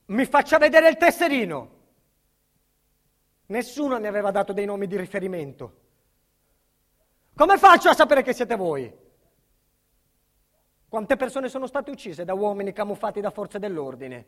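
Casting: an indignant, fearful man testifying against crime, high-pitched, intense dramatic stage delivery. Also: sing-song, moderate pace, pauses often. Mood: agitated, alarmed. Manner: intense, swinging from hushed to agitated. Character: frightened, indignant male protected witness recounting his ordeal. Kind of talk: dramatic theatrical monologue.

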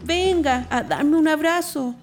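A woman's voice: high voice, takes it slowly. A woman playing a deferential, fond household maid in a radio drama. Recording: noisy, cutlery and dishes clinking.

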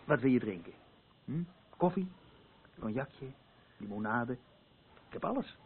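A hospitable, frank man talks as a protective friend in a radio drama. His voice is mid-pitched, on a thin, phone-like recording with light noise. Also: animated, a little slow. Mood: welcoming. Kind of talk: radio play acting.

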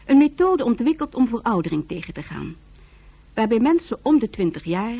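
A woman's voice: a little high, sing-song, normal speed, warm tone, slightly rough. A woman reading scripted narration for a science fiction radio drama. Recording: faint music behind voice.

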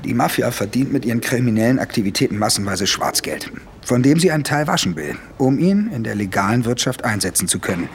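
Man acting a police investigator, methodical explainer, mid-pitched, radio drama, faint background noise. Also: expressive, fast, lightly acted. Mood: explanatory, assured.

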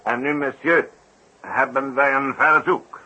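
A very sharp, bright male voice plays a formal circus delegate. He is polite, measured and deferential, at medium pitch, in old radio drama style. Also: fairly even intonation, slightly rough, exaggerated voice, poor audio. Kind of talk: 1960s Dutch radio drama acting.

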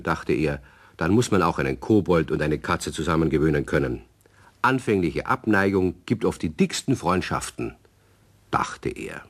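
Casting: male narrator for children's audio drama, deep register, animated scripted storytelling.

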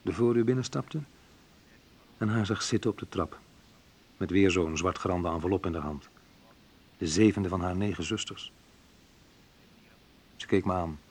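A man's voice: sits low, normal speed, long pauses, husky. An actor playing a wry, reflective man who narrates memories of his youth in an intimate radio drama, confiding and a little hesitant. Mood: quiet, sad. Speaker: narrator and main character of a radio play.

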